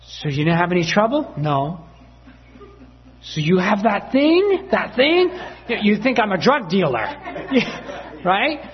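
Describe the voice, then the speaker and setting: A man's voice: sits high, big pitch swings, slow pace, long pauses, dull audio. A man preaching a sermon as a story, acting out family dialogue with voices and laughter.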